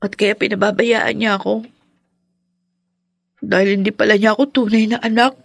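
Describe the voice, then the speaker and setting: A woman's voice: medium pitch, flat delivery, normal speed, gravelly, breathy edge, no echo, studio quality. An actress playing a sorrowful, wounded young woman in a radio drama, speaking plaintively. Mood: sorrowful, hurt. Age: young adult. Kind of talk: Tagalog radio drama acting.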